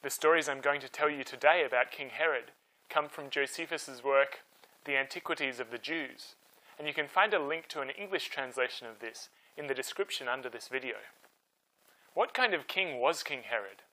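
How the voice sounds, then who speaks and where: A man's voice: medium pitch, moderate pace, pushed and bright, low-quality recording. A man hosting a storytime, telling history from a script in an emphatic, conversational way. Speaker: storyteller host.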